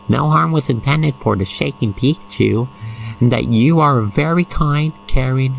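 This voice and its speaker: An expressive narrator guiding the listener through an ASMR roleplay story in a gushing, sentimental manner. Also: mid-range voice, vocal fry, a little hiss or hum, rough recording.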